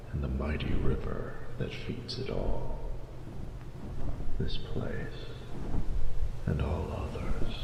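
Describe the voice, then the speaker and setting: A man voicing an ancient, noble male dragon in a romantic audio roleplay, speaking formally and solemnly with tenderness in a gravelly, breathy voice. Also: very deep voice, exaggerated voice. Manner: slow, stately, formal phrasing. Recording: reverberant, echoing, noisy ambient bed.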